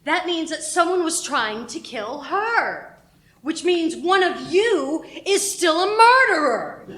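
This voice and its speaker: An actress playing an imperious, indignant radio station boss in a radio play, haughty and combative. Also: female voice, extremely high pitch, sing-song, slow, a bit bright, heavily acted. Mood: outraged, accusing. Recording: roomy, with faint background noise.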